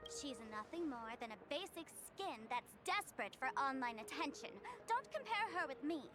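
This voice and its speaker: A woman's voice: high-pitched, sing-song, moderate pace. A woman voicing a wry, teasing young female streamer and trickster in a video game, speaking in a confiding, storytelling manner. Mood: scornful. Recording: clear music bed behind voice. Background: music.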